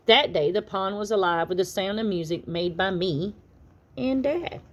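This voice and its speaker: A woman reading a children's picture book aloud as an expressive narrator. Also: medium pitch, moderate pace. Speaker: storybook reader.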